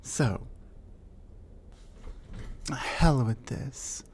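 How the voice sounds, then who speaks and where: A woman's voice: rumbling low, drawn-out words, a little mellow, a little hiss or hum, full character voice. A woman voicing a huge, reclusive female troll in an ASMR audio roleplay, curt and commanding. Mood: hostile, commanding. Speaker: voice actor.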